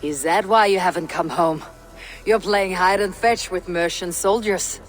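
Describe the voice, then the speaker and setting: An actor playing a blunt, stoic Viking raider in a video game cutscene, speaking in a measured, solemn way. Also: male voice, high voice, poor audio. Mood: challenging, irked.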